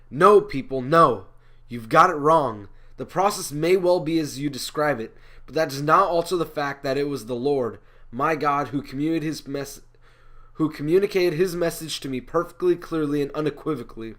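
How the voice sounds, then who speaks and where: A man's voice: fairly high pitch, a bit bright, close to an everyday voice. A man performing a comic stage monologue as an indignant, self-justifying prophet, with emphatic, declamatory delivery. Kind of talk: rehearsed comic speech monologue.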